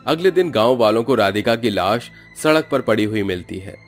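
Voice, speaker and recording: A man's voice: lowish voice, expressive, moderate pace, faint music behind. A man narrating a scripted cartoon story as the storyteller.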